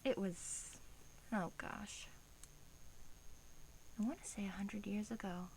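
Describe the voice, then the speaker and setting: Slow, hushed female vampire played by a woman, soft-spoken ASMR. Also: mid-range voice, steady tone, vocal fry, slight background noise, rough recording. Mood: smug, disdainful. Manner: slow, hushed, slipping into whispers, musing. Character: centuries-old female vampire, smug, predatory, softly doting on captive.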